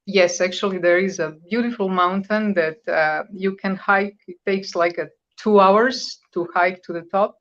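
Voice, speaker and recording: A woman's voice: medium pitch, fairly even intonation, normal speed, few pauses. Croatian-born woman in her fifties, muffled. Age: 50s. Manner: conversational, searching for words, with hesitations. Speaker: guest. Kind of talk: radio interview.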